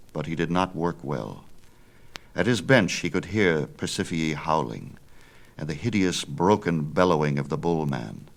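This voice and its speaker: A man narrating a Greek myth as dramatic, measured audiobook storytelling. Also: extremely low pitch, creaky.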